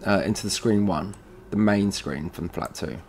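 Low-voiced man giving an informal software walkthrough. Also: normal speed. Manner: casual, thinking aloud step by step. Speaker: tutorial presenter. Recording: noisy room with keyboard typing.

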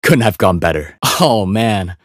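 A young Chinese male assassin from a video game with a fairly low, composed voice. He is focused and courteous yet deadly, speaking in cool, clipped, understated lines. The sound is close and dry. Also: big pitch swings, measured pace, no echo.

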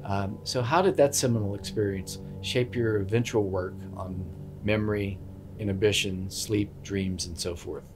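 A man's voice: on the low side, fairly even intonation, normal speed, music in the background. A man asking prepared questions as an interviewer.

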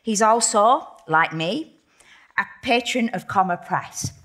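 A woman's voice: mid-range voice, lively intonation, slow, fairly bright tone. A woman with a mid-range voice introduces an author from a lectern on a theatre stage. Her delivery is formal and proud, a live event introduction.

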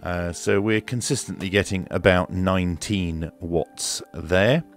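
Low-voiced, slow-talking man. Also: fairly even intonation, faint music behind. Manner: unhurried, matter-of-fact, hesitant step-by-step explaining. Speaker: radio reviewer and demonstrator. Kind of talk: casual product demonstration. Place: indoor room at a desk.

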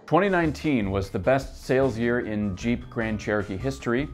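A man in his thirties hosting a scripted gear news roundup, seated at a desk in an office and talking briskly from his notes. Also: mid-range voice, animated, over music. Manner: brisk, upbeat presenter reading from notes.

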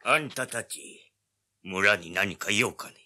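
Embittered elderly villager, rustic and menacing, piercingly tense voice, swooping intonation, audio drama. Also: male voice, lowish voice, lively intonation, slow pace, sharp tone, heavily acted. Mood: wary. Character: suspicious, embittered old village man.

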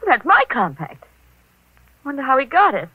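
An actress playing a detective's breezy, playful young wife, airy and coy, in an old radio mystery. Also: female voice, sits high, huge pitch swings, normal speed, husky, dry recording, narrow, tinny audio, exaggerated voice. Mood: worried. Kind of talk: 1940s radio mystery acting.

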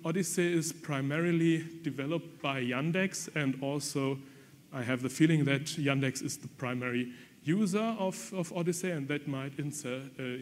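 A man's voice: mid-range voice, a little hiss or hum. A man giving a technical conference presentation, talking frankly with frequent ums and restarts. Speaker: conference speaker. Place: conference hall. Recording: long reverb from lecture hall.

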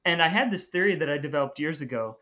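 A man's voice: a little high, lively intonation, quick pace, sharp tone. A man co-hosting a podcast, chatting casually in a playful, jokey way. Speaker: podcast co-host.

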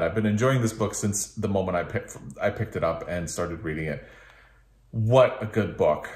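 A man in his thirties speaks in a fairly low voice with noticeable room echo. He hosts a casual book chat from a living room, talking to the camera in a rambling, thoughtful way and often rephrasing as he goes. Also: darkish tone. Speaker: book reviewer, video host. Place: small living room with couch and bookshelves, indoors.

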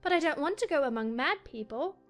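A woman's voice: high-pitched, animated, a little fast, soft background music. A woman playing a curious, polite young girl in a dramatized storybook audiobook, full of questions.

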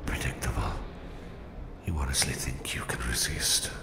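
A man playing a menacing, domineering Soviet psychic supervillain with a Russian accent in a slow, condescending ASMR roleplay, his voice whispery and gravelly. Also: extremely low pitch, animated, reverberant, exaggerated voice. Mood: cold threat.

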